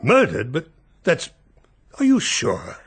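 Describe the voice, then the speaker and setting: An actor playing an earnest, proper family solicitor in a radio drama, with a formal, precise, slightly hesitant lawyerly manner. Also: male voice, on the high side, huge pitch swings, slow pace, husky, full character voice. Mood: shocked, unsure.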